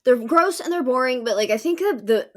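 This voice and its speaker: A woman's voice: high-pitched, expressive, a little fast. A woman discussing politics casually on a podcast, emphatic and informal, checking in with "like" and "right?". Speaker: podcast co-host.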